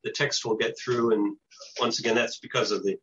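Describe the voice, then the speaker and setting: An older American man giving an informal presentation and answering questions in a halting, hesitant way over a video call. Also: mid-range voice, fairly even intonation, a bit bright, slight background noise. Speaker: presenter, radio show producer. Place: small home office with bookshelves, indoors. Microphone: computer mic on a video call. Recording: dull lo-fi video call audio.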